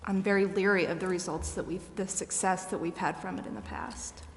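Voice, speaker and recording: A woman's voice: on the low side, steady tone, brisk. American woman, candid questioning council member, in a reverberant, noisy chamber. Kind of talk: deliberative public meeting discussion. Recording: echoey meeting chamber with noticeable background noise.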